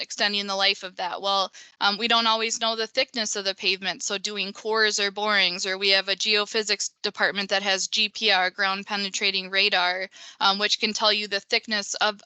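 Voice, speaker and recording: A woman in her thirties with a Minnesota accent talks through a webinar over a video call. Her voice is very bright and crisp with a dry, close sound. She speaks in an even, flat tone, full of ums and restarts. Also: medium pitch, no echo, poor audio. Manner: conversational, many fillers and restarts. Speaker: pavement engineer presenter. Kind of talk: webinar presentation.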